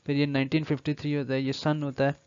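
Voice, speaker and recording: A man's voice: fairly high pitch, monotone, fast, a little mellow, smooth, dry recording, dull audio. A man with a Pakistani accent giving an explanatory law lecture, mixing in English legal terms and reading passages aloud before paraphrasing them.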